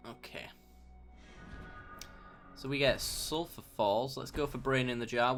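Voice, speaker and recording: Young gamer, a bit croaky with a sore throat, relaxed let's-play commentator who talks through each move. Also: male voice, on the low side. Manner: thinks aloud, mild self-talk, bursts of mock outrage. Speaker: gameplay commentator.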